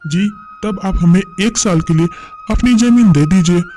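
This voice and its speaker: A man plays an honest, hardworking farmer in a village cartoon. His voice is fairly high, earnest and deferential, set over light music. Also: measured pace, warm tone. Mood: respectful. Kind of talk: animated moral story dialogue in Hindi.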